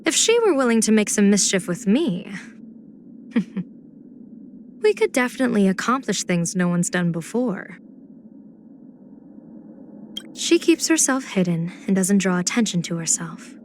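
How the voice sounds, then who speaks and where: A woman playing a playful, scheming, poised general and diviner in a video game, speaking in measured, teasing, elegant phrases. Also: medium pitch, sing-song, darkish tone, slight background noise, natural voice. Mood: playful, intrigued. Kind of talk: video game character voice acting.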